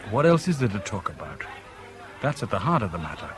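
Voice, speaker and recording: A man's voice: on the low side, big pitch swings, fairly quick, music in the background, crowd noise. An actor playing an indignant, lovestruck British merchant banker who questions insistently and narrates his own story in a radio drama. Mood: pressing, urgent.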